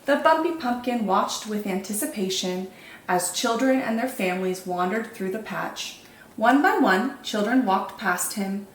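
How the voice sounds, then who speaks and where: Female children's storytime reader, mid pitch, animated and clearly phrased, echoey room with faint noise. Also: unhurried. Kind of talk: expressive picture-book narration.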